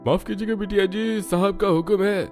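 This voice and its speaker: A man's voice: sits high, steady tone, moderate pace, a little mellow. Male actor as bodyguard: stern, deferential, formal story delivery over faint music. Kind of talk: dramatized Hindi audio story acting.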